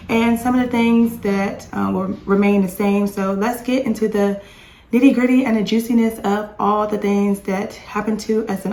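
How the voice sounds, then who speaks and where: A woman in her thirties, slightly hoarse, chatting in a rambling homeschool vlog from her home. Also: medium pitch, a little mellow, roomy sound, slight background noise, poor audio. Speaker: homeschooling mom vlogger. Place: home with dishwasher and dryer running.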